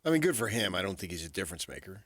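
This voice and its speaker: A man co-hosting a sports talk show in casual banter, blunt and quick to disagree. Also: low voice, sing-song, fairly bright tone, no room sound. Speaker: sports radio co-host.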